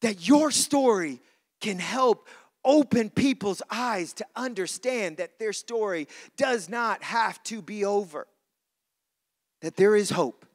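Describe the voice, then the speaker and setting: A man's voice: high voice, expressive, slow pace. A man preaching a church sermon in an informal, conversational way, repeating phrases for emphasis. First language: not English (says English is not his first language).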